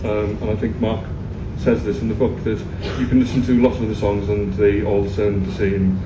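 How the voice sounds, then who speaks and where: A man's voice: on the low side, flat delivery, normal speed. A man interviewing a guest, hesitant, with many restarts and fillers. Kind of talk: radio-style interview. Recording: echoey, distant, muffled, loud room noise.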